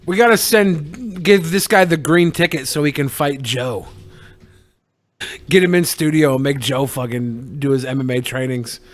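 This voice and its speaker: A man's voice: on the high side, moderate pace, dry recording. A man co-hosting a podcast with brash, profane, sarcastic banter. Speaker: podcast co-host. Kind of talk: casual mocking banter.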